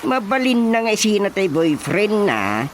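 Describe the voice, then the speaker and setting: A man's voice: high-pitched, sing-song, a little slow, slight background noise. A man playing a jovial, wily older village chairman in an Ilocano radio comedy, chuckling and coaxing with an Ilocano accent. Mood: jovial, scheming.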